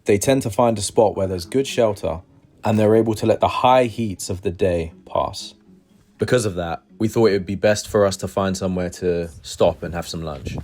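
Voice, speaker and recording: A man's voice: on the low side, darkish tone. A man, a wildlife photographer, narrating his family safari journey in an earnest, reflective voice-over.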